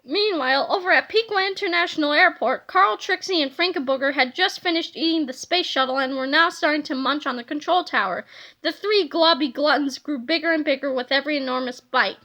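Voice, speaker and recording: A woman narrating a children's storybook aloud in an expressive, scripted reading style. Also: sits high, brisk.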